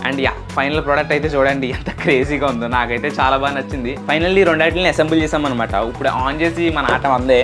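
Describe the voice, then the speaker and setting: A man's voice: medium pitch, fairly even intonation, fast, few pauses. A man chatting casually and explaining things as he hosts an unboxing and product demo. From India. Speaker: video host. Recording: loud music behind the voice. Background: loud music.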